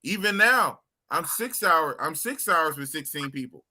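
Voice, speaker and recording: A man hosting a live stream, talking loudly and casually with a humble manner. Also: on the high side, expressive. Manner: loud, slow drawl, humble.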